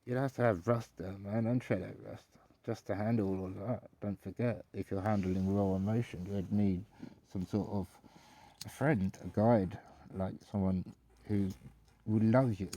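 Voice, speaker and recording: A man's voice: fairly low pitch, fairly even intonation, moderate pace, mellow. A man playing a laid-back, self-loving stoner alter ego, murmuring advice.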